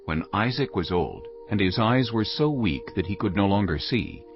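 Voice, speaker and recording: A man's voice: fairly low pitch, very animated, moderate pace. A man narrating a dramatized scripture reading in a solemn storytelling style. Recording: dull recording over soft music.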